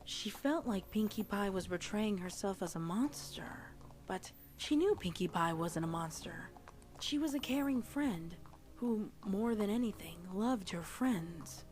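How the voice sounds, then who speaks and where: A woman's voice: medium pitch, expressive, unhurried, darkish tone. A woman reading scripted story narration in a dramatic style. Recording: music behind the voice.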